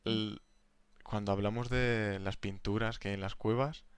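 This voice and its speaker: A young man co-hosting a casual podcast, enthusiastic and quick to laugh. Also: on the low side, steady tone, clean tone, dry recording. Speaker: podcast co-host, language teacher. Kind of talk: casual conversational chat.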